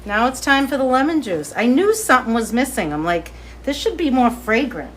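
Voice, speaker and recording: A woman with a light Boston accent hosting a live cooking demo from her kitchen, chatty and excitable as she talks to viewers. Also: fairly high pitch, brisk, a little hiss or hum, rough recording. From Boston area. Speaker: cooking show host. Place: home kitchen, indoors.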